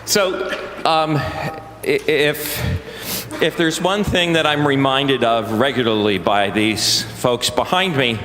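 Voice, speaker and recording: Man, middle pitch, slow and halting, wry self-mocking banter; live church concert, heavy reverb. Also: a bit bright. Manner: joking, self-deprecating, halting with many fillers. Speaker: choir director leading a singalong. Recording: roomy live recording with long church reverb.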